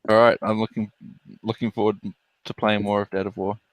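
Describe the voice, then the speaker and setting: A man's voice: lowish voice, little pitch movement, fairly quick, a little hiss or hum, rough recording. A man chatting casually as a member of a podcast panel. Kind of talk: casual chat.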